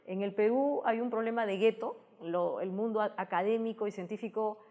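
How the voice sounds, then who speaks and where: Woman, Peruvian accent, mid pitch, reflective, restarts phrases, interview guest. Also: moderate pace, darkish tone. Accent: Peruvian Spanish. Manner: thoughtful, frequent restarts and tag questions. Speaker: guest, tech communicator. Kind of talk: interview answers, explanatory.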